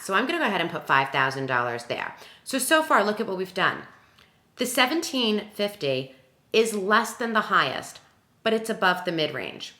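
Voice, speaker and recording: A woman's voice: medium pitch, pushed and bright, roomy sound. A woman working as a wedding planner gives a conversational, instructional walkthrough, emphatic and often posing questions that she answers herself. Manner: emphatic, self-questioning, talks through examples aloud. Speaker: wedding planner presenting a tutorial.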